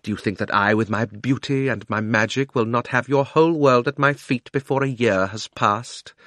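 A man reading an audiobook who voices a proud, imperious witch queen, speaking slowly and with scorn. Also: mid-range voice, fairly bright tone, dry recording, clean studio sound, close to an everyday voice. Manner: slow, commanding, scornful delivery. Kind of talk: audiobook character voice.

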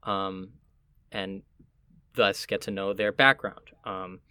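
A man from Miami with a Puerto Rican family, talking casually and reflectively, often halting as he searches for words. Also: deep voice, steady tone, bright, forceful tone, smooth.

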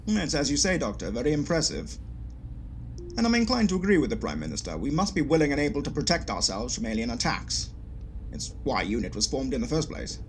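A man plays a forthright in an audio drama. His mid-pitched voice is lively in intonation, clipped and decisive, with faint background noise. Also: a little fast. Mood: firm, agreeable. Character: firm, forthright male military commander, a Brigadier.

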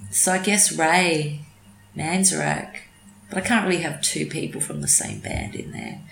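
A woman's voice: low voice, huge pitch swings, moderate pace, vocal fry, a bit bright, roomy sound, rough recording. A woman with an Australian accent, a community radio presenter chatting in an interview, hesitant, with many ums and restarts. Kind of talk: casual interview chat.

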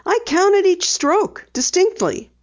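A woman voicing an eager, earnest teenage girl sleuth in a storybook reading, high and insistent. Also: sing-song, slow pace, lo-fi sound, exaggerated voice. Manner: bright, earnest, high-pitched pleading.

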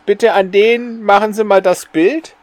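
A man chats as a podcast co-host. His voice is on the higher side, recorded dry with little room sound. He comes across as wry and questioning. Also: steady tone, measured pace, darkish tone, no echo. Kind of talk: casual podcast chat.

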